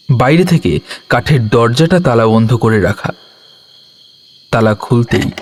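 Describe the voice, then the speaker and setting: A man narrating a scripted horror story with dramatic, measured phrasing and deliberate pauses. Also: medium pitch, darkish tone. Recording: music bed under the voice. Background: music.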